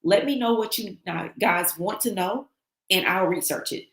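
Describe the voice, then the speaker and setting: A woman with a slightly raspy, travel-worn voice hosts a casual livestream and chats with viewers. She talks in an animated way and reads their comments aloud. The sound is echoey, as if recorded in a bare room. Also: mid-range voice, measured pace, slight background noise. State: losing her voice from travel. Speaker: livestream host. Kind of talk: casual livestream sign-off chat.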